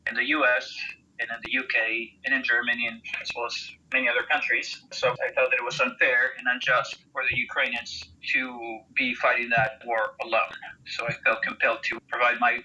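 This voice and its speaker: A middle-aged American man from Texas recounting his experience in an interview in a measured, matter-of-fact way. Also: mid-range voice, pushed and bright, husky, lo-fi sound. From Texas, United States. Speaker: interviewed military volunteer and veteran.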